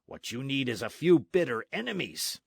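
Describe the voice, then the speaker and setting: A loud, hearty soldier sergeant, gruff and boastful, rallying a friend to action. A man puts him on for a storybook reading. The voice swings widely in pitch and sits in a dry, close sound. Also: sing-song, normal speed, no echo, exaggerated voice. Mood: blustering.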